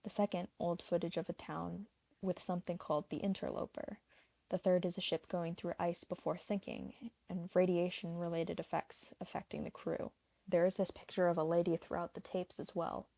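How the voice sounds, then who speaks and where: A woman with a fairly low voice and a clear creak of vocal fry narrates a scripted video essay. The recording is close and dry, with no room sound. She presents each item as an explainer and mixes in personal opinion. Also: a little mellow, dry recording.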